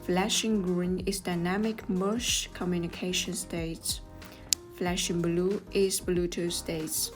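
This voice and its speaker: Slow, low-pitched woman narrating instructions. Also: flat delivery. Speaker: tutorial narrator. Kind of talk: scripted step-by-step instructional narration. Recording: voice over clear background music. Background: music.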